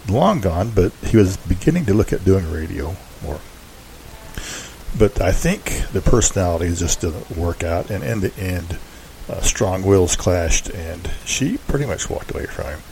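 A man hosting a show, giving casual, unscripted background commentary in a halting, rambling, hedging way. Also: low voice, vocal fry, warm tone, poor audio. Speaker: host introducing an old-time radio show. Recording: faint background hiss.

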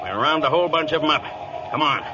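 A man playing a gruff old-time police chief. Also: fairly high pitch, animated, fast, close to an everyday voice. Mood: bossy. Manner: bossy, barking orders. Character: gruff small-town male police chief. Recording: dull old radio broadcast with hiss.

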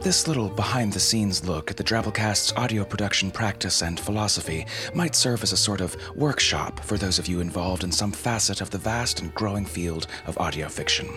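A very low-voiced man narrates as a podcast host. His scripted delivery is wry and tongue-in-cheek, with music playing clearly underneath. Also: a little fast, few pauses.

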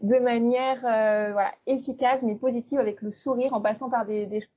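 Husky-voiced French woman, chatty teacher. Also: fairly high pitch, steady tone, moderate pace, dull audio. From eastern France. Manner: chatty, many fillers and restarts. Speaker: language teacher, guest. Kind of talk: casual interview talk.

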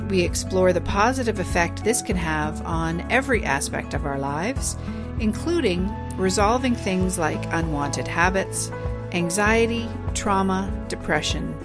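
A woman podcast host reading a scripted introduction and promotion in a measured, even way. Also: on the low side. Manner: measured, even, read-aloud delivery.

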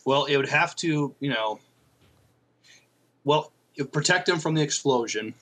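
A man's voice: a little high, normal speed, a bit bright. A man co-hosting a podcast, casually discussing a show in a hesitant, thoughtful way. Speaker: podcast co-host.

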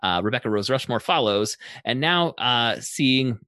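A man hosting a podcast, giving a conversational recap and commentary in an animated, enthusiastic way. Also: medium pitch, measured pace, dry recording, clean studio sound. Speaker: podcast host summarizing episodes.

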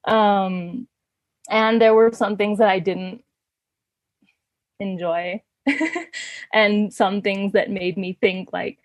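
A woman's voice: mid-range voice, steady tone, slow, pauses often, dry recording, highs cut off. A woman in her thirties from Canada, chatting as an interview guest, laughing often between fillers and restarts. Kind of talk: casual conversational storytelling.

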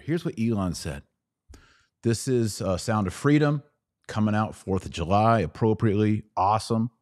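A man with an American accent and a fairly low voice speaks as a podcast host. He gives conversational news commentary, punching short phrases for emphasis. The sound is dry and close, like a studio with little room reflection. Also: a little mellow, no echo. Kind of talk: live-streamed opinion commentary on news.

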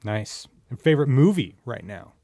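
A man hosting a podcast, putting casual quick-fire questions to a guest in a playful, teasing way. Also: medium pitch, very animated, mellow, no echo, clean studio sound. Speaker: podcast host.

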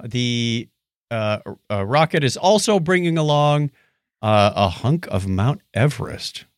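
A man's voice: mid-range voice, lively intonation, unhurried, clean tone, no echo, studio quality. A man co-hosting a podcast, chatting casually through science news with jokey, wry asides. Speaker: podcast co-host. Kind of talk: casual science news chat, paraphrasing articles.

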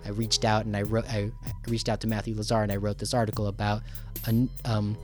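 A man's voice: lowish voice, flat delivery, fast talker, a little mellow, soft background music. A man hosting a casual conversational interview, talking in a rambling, enthusiastic and self-deprecating way. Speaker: radio show host.

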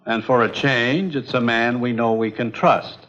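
An actor playing an earnest, authoritative commissioner in a 1950s adventure drama. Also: male voice, medium pitch, measured pace, a bit bright, natural voice. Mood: grave, urgent. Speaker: commissioner giving orders. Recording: dull, muffled 1950s soundtrack.

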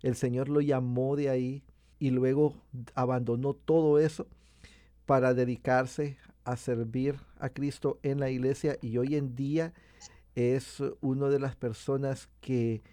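A man co-hosting a podcast interview, talking in a measured way about planting churches. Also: mid-range voice, level tone, dark tone, no echo.

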